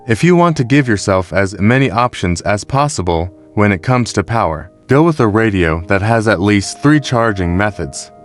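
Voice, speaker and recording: A man with a fairly low voice delivers scripted review narration. He reads in a measured, explanatory manner, like a narrator walking through buying advice. Music plays behind his voice. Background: background music.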